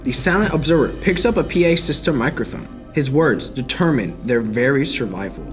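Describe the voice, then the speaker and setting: A man's voice: medium pitch, lively intonation, brisk, music in the background. A young man giving dramatic scripted narration as the narrator of a radio mystery. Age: young adult.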